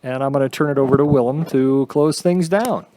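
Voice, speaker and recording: A man's voice: mid-range voice, fairly quick, warm tone. A man informally signing off as a presenter, on a noisy recording. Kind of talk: informal thanks and handover. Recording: clearly noisy, with clicks.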